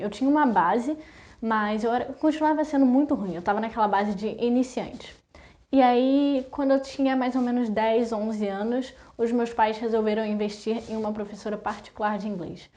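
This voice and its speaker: A young Brazilian woman chatting to her viewers in a relaxed vlog. Her voice sits a little high and sounds warm and mellow. She talks casually and rattles off lists in quick runs. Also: dull audio. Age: young adult. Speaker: vlogger. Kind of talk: casual talk to camera.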